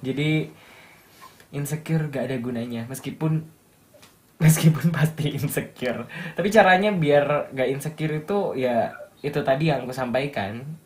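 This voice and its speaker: A man's voice: mid-range voice, normal speed, long pauses, a little hiss or hum. Young male radio announcer from Malang, light Javanese accent, upbeat and motivational. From Malang, East Java. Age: young adult. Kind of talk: casual interview chat.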